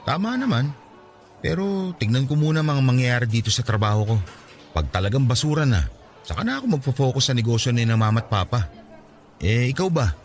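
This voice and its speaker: A friendly young man's voice in a radio drama, as an actor plays him, moving up and down a lot as he gives warm advice. Soft music sits quietly in the background. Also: medium pitch, lively intonation, brisk, close to an everyday voice. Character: young man Raj, caring childhood friend.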